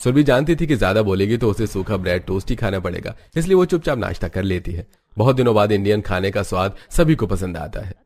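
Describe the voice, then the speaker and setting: A man's voice: lowish voice, lively intonation, quick pace, few pauses, a little mellow. A man narrating a scripted cartoon family story.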